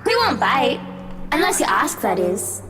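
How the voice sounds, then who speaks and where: A woman's voice: fairly high pitch, sing-song, unhurried. An actress playing a sweetly coaxing, teasing, eerie woman, cooing in singsong for a horror visual novel. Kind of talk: visual novel game voice acting. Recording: very loud music behind the voice.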